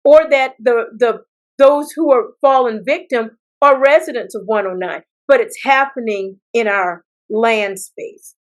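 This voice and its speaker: An American woman from South Carolina answering interview questions as a political candidate, speaking in deliberate phrases with pauses. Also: fairly high pitch, poor audio. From South Carolina, United States. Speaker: political candidate, attorney and former judge.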